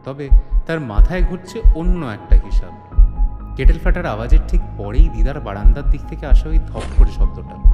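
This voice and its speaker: A man narrating an audio drama as a suspenseful, theatrical storyteller. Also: medium pitch. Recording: echoey, long reverb, music behind. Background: music.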